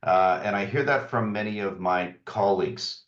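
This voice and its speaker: Ceo guy giving a welcome, lots of ums, lowish voice, roomy muffled audio. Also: a bit bright. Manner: informal, halting with frequent fillers. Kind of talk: welcome address on a webinar. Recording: roomy, dull lo-fi sound.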